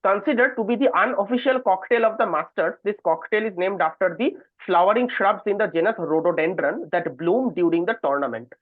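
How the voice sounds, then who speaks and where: A quizmaster reads trivia questions aloud in a medium-pitched voice. The sound is thin and phone-like, as on an online call, with a dry, close finish. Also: fairly quick, hardly any pauses, no room sound. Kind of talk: reading quiz questions aloud.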